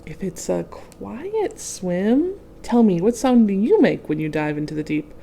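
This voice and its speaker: A woman's voice: mid-range voice, very animated, unhurried, vocal fry, warm tone, exaggerated voice. Woman reading as earnest little storybook girl. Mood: cautious. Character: quiet-loving little storybook girl, curious, easily overwhelmed.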